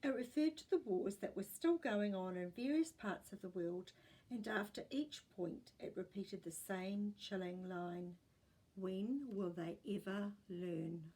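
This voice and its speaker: A woman with a New Zealand accent reading a children's story aloud in an expressive storytelling style. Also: medium pitch, measured pace, slightly rough, poor audio.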